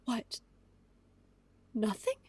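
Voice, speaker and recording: An actress playing a distraught, devoted mother in a period audio drama, pleading in an earnest, halting, emotional way. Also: a little mellow, dry recording. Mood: desperate.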